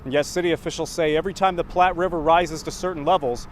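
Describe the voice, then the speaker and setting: A man's voice: a little high, steady tone, fast talker, slight background noise. A man delivering scripted news narration as an anchor and reporter.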